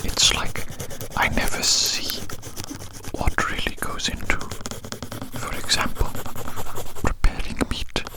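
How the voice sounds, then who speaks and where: A man speaks entirely in a whisper, very close to the microphone. He rambles as an ASMR host giving personal attention, often repeating a phrase and asking the listener teasing questions. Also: slow pace.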